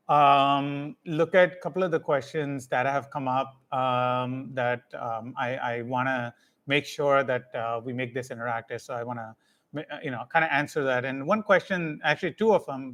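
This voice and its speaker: A man's voice: mid-range voice, fairly even intonation, normal speed, fairly bright tone. A middle-aged man, a company director, giving a live talk to parents, switching between English and Hindi with many fillers and checking "right?". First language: English first, Hindi second. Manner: mixes English and Hindi, frequent fillers, tag questions. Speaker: ed tech company managing director. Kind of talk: live session talk to parents, answering questions.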